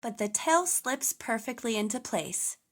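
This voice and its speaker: A woman reading a children's story aloud as host, with enthusiastic, clearly phrased narration for toddlers. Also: on the high side, animated, unhurried.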